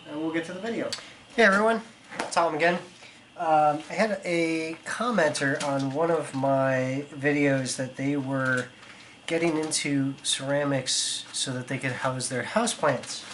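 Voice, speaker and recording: A man with a cold and a raspy voice, casually explaining pottery as he throws pots on the wheel, thinking aloud with fillers and self-corrections. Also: fairly high pitch, poor audio. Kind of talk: casual instructional talk. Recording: loud background noise.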